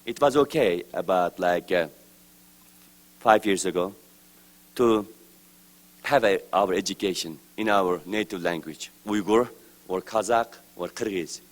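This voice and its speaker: A man from Turkestan, giving a formal prepared speech at a press event, slow, deliberate and emphatic, his phrases trailing off at the ends. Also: deep voice. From East Turkestan.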